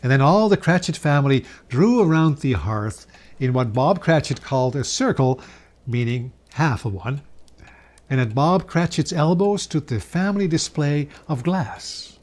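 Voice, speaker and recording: A man reads a classic Christmas story aloud in a fairly high voice. He takes his time, savoring phrases and leaving theatrical pauses, as a storyteller giving a dramatic reading. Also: animated, a little mellow.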